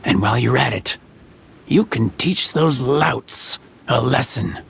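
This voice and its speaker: An actor voicing a jovial old carpenter in a video game, with an easygoing, chuckling manner. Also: male voice, mid-range voice, lively intonation, takes it slowly, vocal fry, a bit bright, a little hiss or hum, heavily acted. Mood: genial. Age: older.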